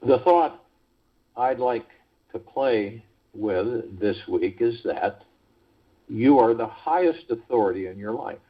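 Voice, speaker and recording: A man hosting a guided meditation call, speaking in a measured, deliberate way with pauses between phrases, over a phone conference line. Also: mid-range voice, animated, breathy edge. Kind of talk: guided meditation talk, reading prepared spiritual text. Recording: muffled, lo-fi conference call audio.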